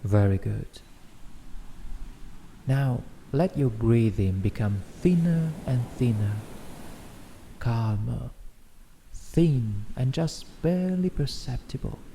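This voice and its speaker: A man guiding a meditation in a gentle, soothing, instructional way, reading as scripted narration. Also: mid-range voice, expressive, slow pace, gravelly, long pauses. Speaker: meditation guide. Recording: faint background noise, ambient music. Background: soft ambient music.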